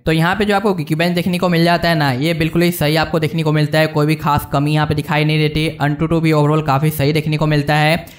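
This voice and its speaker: Casting: Indian man, somewhat high-pitched, informal tech video host walking viewers through a screen, English words mixed in. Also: level tone, a little fast, hardly any pauses. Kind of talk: casual screen-walkthrough review narration.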